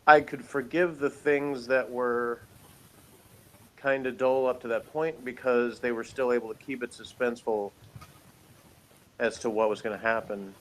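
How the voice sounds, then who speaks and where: A man's voice: medium pitch, steady tone, unhurried, poor audio. Man in rambling review chat, faint noise. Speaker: co-host of a TV review show. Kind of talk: casual unscripted review chat.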